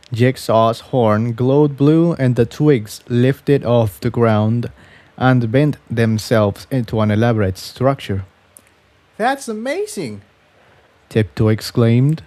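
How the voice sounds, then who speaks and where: A man's voice: medium pitch, a little mellow, no echo. A man reading scripted fiction aloud as a narrator.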